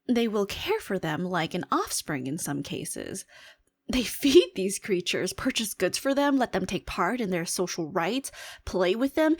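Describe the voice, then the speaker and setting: A woman playing an alien head scientist in a scripted audio roleplay, briefing her commander in a formal, earnest, analytical and emphatic way. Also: medium pitch. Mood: baffled. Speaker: voice performer. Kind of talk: scripted fictional ASMR roleplay monologue.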